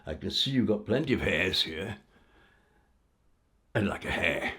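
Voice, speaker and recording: A man voicing a blustering gamekeeper in a folk tale, halting at first and then demanding. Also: sits low, expressive, bright, forceful tone, slightly rough, full character voice. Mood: insistent. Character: king disguised as insistent, blustering gamekeeper on horseback.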